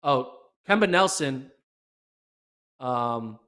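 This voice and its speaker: A man hosting a casual solo podcast recap, chatty and excitable, with a voice going hoarse. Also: mid-range voice, extremely slow. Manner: excitable, chatty, many fillers. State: losing his voice. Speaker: podcast host.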